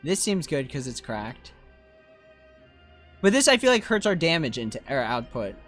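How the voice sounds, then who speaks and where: A game streamer doing casual, excitable live commentary in slangy talk peppered with bro and dude. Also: mid-range voice, normal speed, faint music behind.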